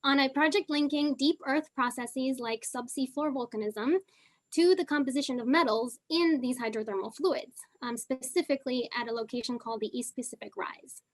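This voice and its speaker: Young woman, from Argentina, Southern California accent, high voice, casual panel talk, lots of fillers. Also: moderate pace. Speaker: panelist, geochemist.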